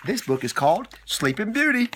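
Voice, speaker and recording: Playful middle-aged dad reading a story, noisy recording. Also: male voice, sits high, sing-song, fairly quick, a bit bright. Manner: theatrical, teasing, frequently interrupts himself. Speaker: father telling a bedtime-style story. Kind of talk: homemade storytime, reading aloud with playful asides.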